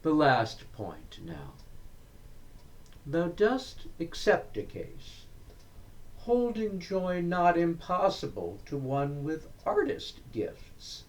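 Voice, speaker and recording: A man giving a dramatic recitation of Victorian verse, deliberate and declamatory, breaking the lines into short phrases with pauses. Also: a little high, huge pitch swings. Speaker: reader of poetry.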